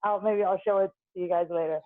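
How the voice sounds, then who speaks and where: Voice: mid-range voice, fairly even intonation, quick pace. A student from Hong Kong introducing themselves on a video call, hesitant with many fillers. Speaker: student at a marine science school. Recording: tinny phone-like call audio.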